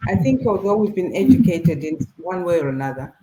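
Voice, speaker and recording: An older woman from Zambia and Zimbabwe leads a panel discussion, reflective and anecdotal as she hands the floor to her guests. Her voice sits in a roomy, echoey space, with a steady noise bed under it. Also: lowish voice, brisk. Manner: reflective, storytelling, inviting guests in. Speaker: talk show moderator.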